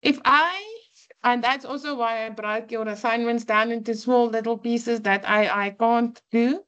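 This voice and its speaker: A woman's voice: a little high, steady tone, unhurried, fairly bright tone, clean tone, muffled recording. A woman lecturer with an Afrikaans accent, a native Afrikaans speaker, giving an earnest orientation class with frequent "um"s. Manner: earnest, explanatory, frequent fillers. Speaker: university lecturer.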